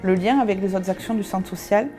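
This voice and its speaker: A woman reads a documentary voice-over in an even, measured way, at a middle pitch. Clear music plays beneath her words. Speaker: social centre staff narrator.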